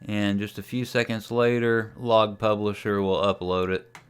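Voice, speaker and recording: Low, clear-voiced man thinking aloud. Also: little pitch movement, unhurried. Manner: unscripted, thinking aloud while operating. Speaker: amateur radio hobbyist demonstrating software. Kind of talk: casual screen-share tutorial narration.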